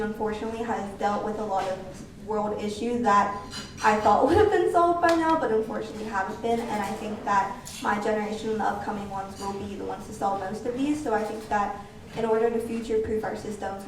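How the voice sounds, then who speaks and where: A woman's voice: medium pitch, steady tone, quick pace, a little hiss or hum. A young woman from Southern California, a nonprofit founder speaking earnestly on a panel. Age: young adult. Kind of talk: panel discussion. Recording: echoey room from far off.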